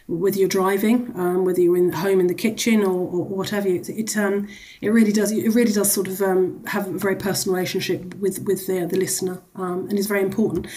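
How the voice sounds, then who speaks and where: A woman's voice: medium pitch, a little mellow. A woman speaking as a charity executive in a radio interview, explaining the work in a conversational, wordy way with frequent asides.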